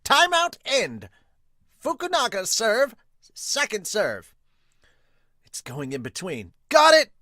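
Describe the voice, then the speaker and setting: Man acting anime-style match announcer, raised pitch, loud punchy calls, urgent, excited, dry recording. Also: slow, pauses often, dry recording, exaggerated voice.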